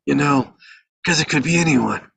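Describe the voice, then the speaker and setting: Aged mob patriarch, performed by a man: weary, forgetful, husky, creaky voice, rambling delivery. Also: a little high, fairly bright tone, exaggerated voice. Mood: weary, regretful. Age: older. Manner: rambling, halting. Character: weary, forgetful old mafia patriarch, male. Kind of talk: live table read of a crime film script.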